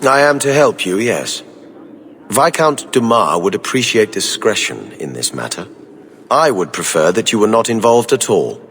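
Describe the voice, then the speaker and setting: An actor playing a stiff, disdainful government official in a video game, speaking formally and precisely. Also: male voice, on the low side, sing-song, moderate pace, a bit bright, in a large hall, slight background noise. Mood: guarded, disdainful.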